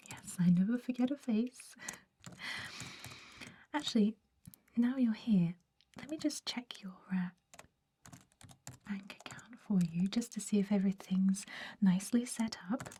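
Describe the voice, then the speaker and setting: A woman voicing a chatty, gushing female vampire office worker in a breathy, whispered ASMR roleplay, full of casual filler words. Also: mid-range voice, unhurried, pauses often, mellow, slight background noise, lightly acted. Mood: brisk, curious.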